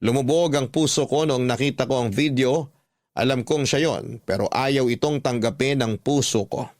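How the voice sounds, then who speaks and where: A man's voice: mid-range voice, normal speed, a little mellow, no echo. Dry-sounding man narrating a story. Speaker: radio drama narrator. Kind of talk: scripted first-person story narration.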